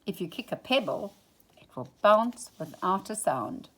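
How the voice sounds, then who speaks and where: A woman reading a children's picture book aloud in an expressive, dramatic storytime style. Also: mid-range voice, a little slow, slightly rough, low-quality recording. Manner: dramatic, drops into hushed whispers for suspense. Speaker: storytime reader.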